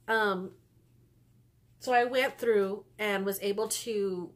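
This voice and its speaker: A woman's voice: medium pitch, fairly bright tone. A woman in her forties with a stuffy nose, chatting casually to camera at home about her knitting, rambling with lots of ums and little laughs. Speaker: knitting podcast host. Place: small room indoors at home.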